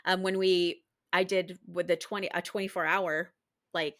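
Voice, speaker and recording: A woman's voice: lowish voice, flat delivery, normal speed, a bit bright, no room sound. A woman hosting a podcast, chatting casually and effusively with her guest on a close mic. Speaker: podcast host, actor and screenwriter. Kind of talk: casual conversational interview. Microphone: close mic on a remote podcast recording.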